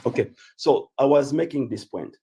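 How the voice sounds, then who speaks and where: Man with Central African roots for a livestream debate, husky and dark, halting, stacks examples with many fillers. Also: medium pitch, expressive. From Central Africa (Uganda/Congo roots). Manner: halting, repeats fillers, lists examples one by one. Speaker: livestream guest.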